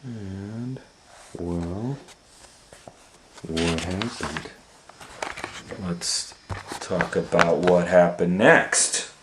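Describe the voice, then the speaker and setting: A man's voice: low voice, extremely slow, long pauses, very noisy. A man reading a children's story aloud as an educator, asking rhetorical questions and explaining the pictures to young listeners. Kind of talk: animated children's storytime narration.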